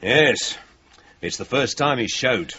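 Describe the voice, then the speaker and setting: An actor playing a hard-edged British police officer, clipped and commanding, in a radio drama. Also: male voice, mid-range voice, lively intonation, slow pace, bright, forceful tone, slight background noise. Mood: businesslike, firm. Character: brisk, hard-edged male police officer making an arrest. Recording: dull lo-fi radio drama recording.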